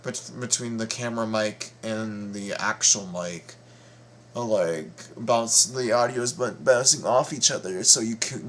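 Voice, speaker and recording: A man hosting a casual reaction vlog, talking haltingly with repeated words and stammers. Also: mid-range voice. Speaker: reaction video host. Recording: steady background noise.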